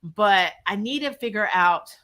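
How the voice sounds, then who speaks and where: A woman with a bright, forward voice hosts a casual recap stream. She talks in a chatty, slangy way, as if to regular viewers. Her pitch sits in an ordinary middle range for a woman. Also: animated, measured pace. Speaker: host of a TV recap show. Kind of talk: casual livestream review chat, reading viewer comments.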